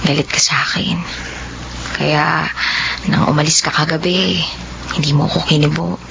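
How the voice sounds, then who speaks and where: A woman's voice: deep voice, fairly even intonation, normal speed, gravelly. A young woman acting as a hesitant, torn young student in a drama, her speech faltering and apologetic. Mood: uneasy. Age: young adult. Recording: dull lo-fi audio with faint background noise.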